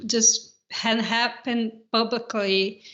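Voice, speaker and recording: A woman on a podcast panel talks in an earnest, emphatic way, with a fairly high voice. The recording of her sounds dull and lo-fi. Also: level tone, slow, a bit bright. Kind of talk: casual chat.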